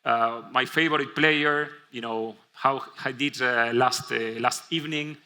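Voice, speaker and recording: Argentinian guy presenting on stage, really bright tone, lots of uhs and restarts. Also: mid-range voice, steady tone, unhurried. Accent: Argentinian Spanish. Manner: many fillers and self-restarts. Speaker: conference presenter. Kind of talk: keynote presentation. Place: conference stage.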